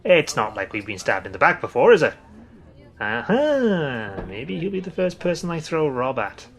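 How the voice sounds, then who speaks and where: A man's voice: medium pitch, big pitch swings, normal speed, fairly bright tone. A man playing a dry, sarcastic teaser who enjoys trolling his friends in a comedy web drama. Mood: sardonic. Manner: dry, sarcastic, mock-formal. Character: sardonic trolling man who carries a stuffed monkey.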